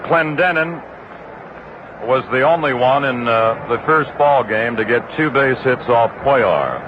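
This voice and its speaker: A middle-aged man giving steady radio play-by-play of a baseball game, rising to excited calls on big plays. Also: mid-range voice, unhurried. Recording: muffled old AM radio broadcast with loud hiss.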